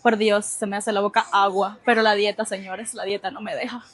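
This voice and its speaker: A woman's voice: mid-range voice, little pitch movement, fairly quick. A woman with a Cuban accent hosting a vlog, chatting casually and expressively to the camera. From Cuba. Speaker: vlog host.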